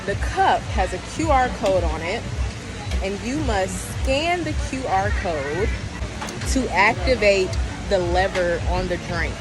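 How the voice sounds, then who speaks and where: A woman's voice: medium pitch, expressive, unhurried, poor audio. A woman recording a casual vlog in a busy public place, explaining things in a wry, exasperated way. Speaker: customer filming a social media clip. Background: music and crowd noise.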